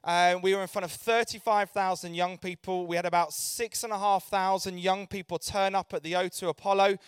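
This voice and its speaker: A man's voice: sits high, fairly even intonation, brisk, smooth, no room sound. A man leading a youth ministry, speaking passionately to a church audience with emphatic, repeated rallying phrases. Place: church event hall.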